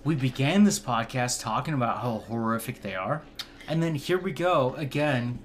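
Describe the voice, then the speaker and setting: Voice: medium pitch, animated, normal speed. A podcast co-host in casual chat, blunt and profane with dry jokes. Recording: faint background noise.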